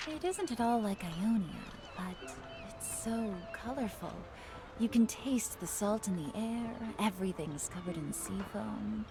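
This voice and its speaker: A woman's voice: medium pitch, expressive, unhurried, creaky, noisy background. A woman playing a composed, secretive traveller in a video game, coy and self-assured. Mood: curious. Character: poised, secretive young woman traveller hiring a bodyguard. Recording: music behind the voice.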